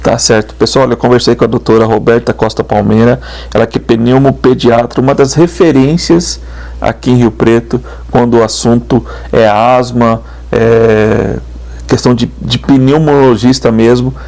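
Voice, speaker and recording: A man hosting a news podcast, chatty and lively, closing with a sing-song sign-off. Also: medium pitch, normal speed. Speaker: journalist and podcast host. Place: podcast studio.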